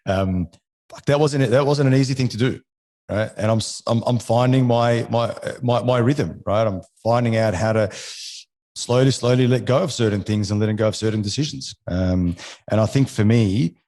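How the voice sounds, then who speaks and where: A man's voice: mid-range voice, normal speed, darkish tone. A man in his forties from Egypt whose first language is French, a podcast guest talking casually, often restarting and ending sentences with "right?". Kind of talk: casual interview conversation.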